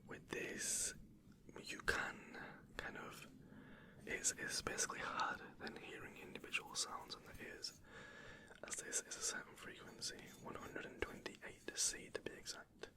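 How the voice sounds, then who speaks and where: An ASMR performer role-playing a medical examiner, whispering gentle instructions and reassuring praise. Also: moderate pace.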